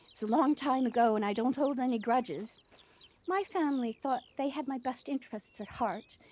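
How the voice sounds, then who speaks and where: Woman acting as proud widowed mother, higher voice, wistful measured storytelling, audio drama, noisy home recording. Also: a little mellow, lightly acted. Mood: matter-of-fact. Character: proud, devoted widowed mother who runs a village cafe. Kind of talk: audio play dialogue, reflective storytelling.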